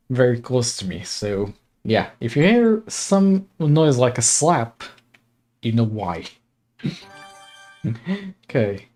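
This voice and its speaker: A man's voice: mid-range voice, mellow. A young man from Slovakia streaming a game, chatting casually, laughing often and reading game text aloud. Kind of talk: casual gaming livestream chat. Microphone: desk mic.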